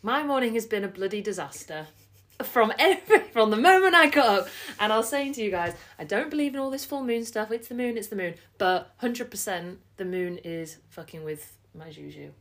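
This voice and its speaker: A woman's voice: medium pitch, animated, brisk, a bit bright. A woman co-hosting a casual podcast, who tells stories with lots of laughter and the odd swear word. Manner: laughing, swearing, chatty storyteller. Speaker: podcast co-host.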